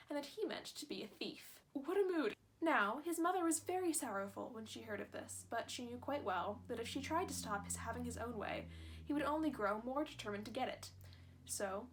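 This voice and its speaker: A young woman reading a fairy tale aloud as a host, with chatty, self-deprecating asides. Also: a little high. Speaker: channel host.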